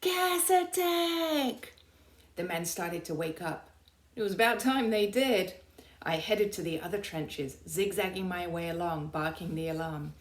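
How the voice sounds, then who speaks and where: A woman narrating a children's storybook aloud with dramatic, deliberate emphasis. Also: sits low, roomy sound. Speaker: teacher reading aloud.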